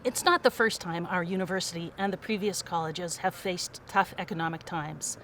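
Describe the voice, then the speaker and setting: A woman's voice: fairly low pitch, normal speed, a bit bright, a little hiss or hum. A woman giving a prepared speech that urges listeners to give generously. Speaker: fundraising speaker.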